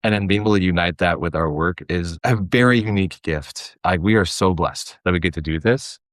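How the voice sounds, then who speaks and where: Low-voiced man, earnest and casual. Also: a little fast, dry recording, clean studio sound. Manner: earnest, rambling, frequent "like". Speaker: podcast guest, creative producer. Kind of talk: casual conversational interview.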